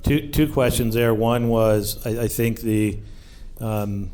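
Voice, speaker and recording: Man, dark mellow tone, low pitch, measured, matter-of-fact, company representative at a public meeting. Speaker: property developer representative answering questions. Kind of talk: answering questions at a public meeting. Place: school community meeting room.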